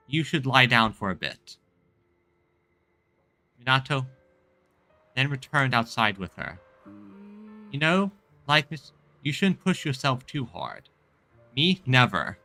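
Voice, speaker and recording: Male game streamer, very bright forceful voice, stumbling read-aloud of story lines, dry sound over faint music. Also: mid-range voice, sing-song, slow, pauses often, no room sound. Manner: stumbles and repeats phrases while reading. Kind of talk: reading game dialogue aloud.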